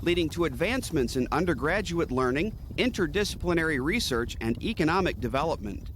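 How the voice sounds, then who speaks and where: A man's voice: a little high, animated, a little fast, fairly bright tone, a little hiss or hum, rough recording. A man reading scripted news narration as the narrator of an institutional newsreel.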